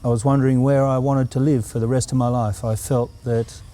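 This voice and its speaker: Guest spot: middle-aged Australian man, dark and even, reflective. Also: mid-range voice. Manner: earnest, reflective, unhurried. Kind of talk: interview answers.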